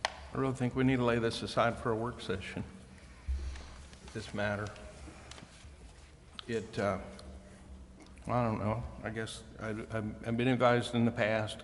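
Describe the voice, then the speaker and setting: A man speaking haltingly and deliberately as a council member making remarks at a public meeting. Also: lowish voice, level tone. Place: indoor council chambers. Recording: reverberant hall, faint background noise.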